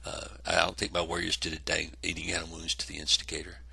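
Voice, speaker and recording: A man's voice: low voice, completely flat delivery, fast, vocal fry, bright, forceful tone, husky, no echo. A congested man casually narrating a tabletop wargame battle report, rambling with many fillers. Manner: rambling, self-correcting, lots of fillers.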